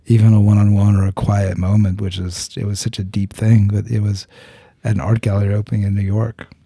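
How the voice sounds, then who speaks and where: A man's voice: lowish voice, little pitch movement, brisk, vocal fry, dark tone, no echo. A podcast host talking through a personal story with his guest. He speaks earnestly, searches for words, restarts often, and swears bluntly. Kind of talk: candid storytelling in conversation.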